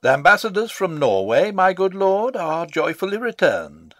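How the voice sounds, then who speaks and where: An actor playing a fussy, self-assured older royal counsellor in a classical drama reading, wordy and pompous. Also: male voice, high voice, sing-song, normal speed, dry recording, clean studio sound. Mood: self-satisfied.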